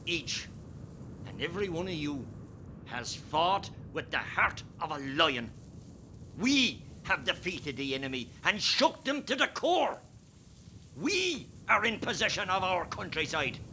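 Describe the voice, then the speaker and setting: Slow, commanding Irish rebel leader. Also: male voice, fairly high pitch, big pitch swings, sharp tone, a little hiss or hum, exaggerated voice. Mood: solemn, rousing. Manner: slow, declamatory, barking orders. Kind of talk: radio play acting.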